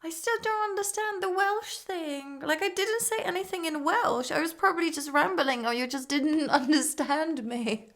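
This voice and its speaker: High, gravelly-voiced bemused woman host. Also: moderate pace, hardly any pauses. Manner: laughing, bemused, affectionate. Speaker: English teacher hosting the chat. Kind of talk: casual chat.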